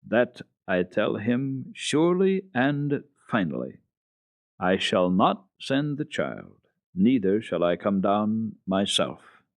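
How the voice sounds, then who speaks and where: A man's voice: fairly low pitch, big pitch swings, takes it slowly, dry recording, full character voice. A man voicing a stubborn, bitter old mountain grandfather in a storybook reading, speaking firmly and bluntly. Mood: firm refusal. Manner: decided, firm, blunt.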